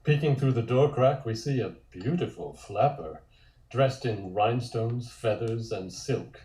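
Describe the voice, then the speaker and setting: Mellow male narrator in a roomy space. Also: mid-range voice, unhurried. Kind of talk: scripted narration of a comic table read. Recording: roomy, echoey sound.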